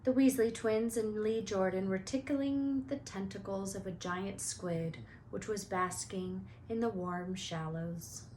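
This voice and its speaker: Female read-aloud storyteller, medium pitch, expressive narration, faint background noise. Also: measured pace, hardly any pauses, low-quality recording.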